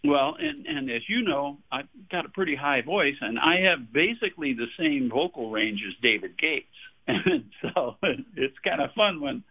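A man's voice: fairly high pitch, sing-song, normal speed, gravelly. A singer-songwriter from Texas in his sixties, chatting as a radio interview guest, laughing often and repeating words as he starts his thoughts. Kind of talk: radio interview, casual storytelling. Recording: phone line, tinny.

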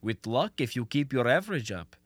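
A man's voice: medium pitch, very animated, a little fast, slightly rough, dry recording. A young man acting in a radio comedy as a confident, scheming who coaxes in wordy, stilted foreign phrasing. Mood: self-satisfied, soothing. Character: scheming, confident Russian male defector posing as an American. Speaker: student actor.

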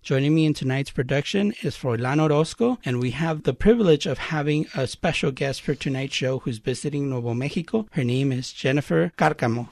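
A man hosting a radio show. He gives a measured, formal welcome in two languages and introduces his guest. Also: a little high, vocal fry, darkish tone, no room sound, studio quality.

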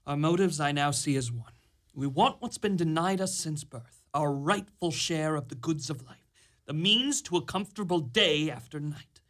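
Woman playing low-voiced bold young lad. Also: normal speed, close to an everyday voice. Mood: defiant. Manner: florid, archaic storybook diction. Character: bold, wily young English thief Jack, a boy. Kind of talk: theatrical radio play acting.

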